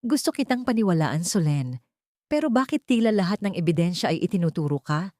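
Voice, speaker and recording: A woman's voice: on the low side, big pitch swings, fairly quick, dark tone, no echo, studio quality. Cold, wounded male tycoon, played by a woman, accusing and interrogating, for a romantic audio drama. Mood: angry, hurt. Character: cold, suspicious wealthy male employer, bitter and hurt.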